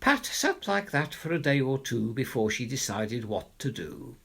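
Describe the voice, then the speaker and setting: Animated, unhurried male storybook narrator. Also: medium pitch, gravelly, slightly rough. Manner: expressive, theatrical storytelling. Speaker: narrator, reader of children's poems. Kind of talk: scripted rhyming storybook narration.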